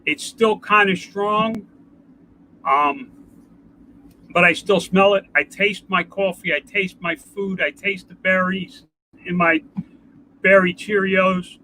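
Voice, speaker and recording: An older man with a congested nasal voice, talking in a rambling, halting way in a casual home video update. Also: sits high, fairly even intonation, bright, forceful tone, a little hiss or hum, poor audio. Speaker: vlogger. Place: outdoors.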